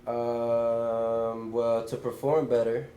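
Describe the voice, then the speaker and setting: A man's voice: mid-range voice, flat delivery, drawn-out words, warm tone, slight background noise. A young man, a guest music artist, chatting casually in an interview with loose slangy talk and a lot of "you know what I'm saying".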